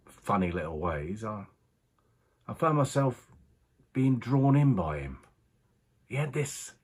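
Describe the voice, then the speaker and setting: An actor playing a rueful working-class racing man, husky, confiding a strange story in a halting, colloquial monologue. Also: lowish voice. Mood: fond, wry. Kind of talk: dramatic monologue, conversational storytelling.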